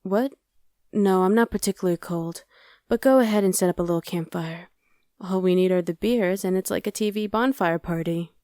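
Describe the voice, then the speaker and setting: Casual young survivor played by a woman, ASMR drama, lowish mellow voice, very dry recording. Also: normal speed, no room sound, lightly acted. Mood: casual, amused. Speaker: voice actor. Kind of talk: ASMR roleplay acting.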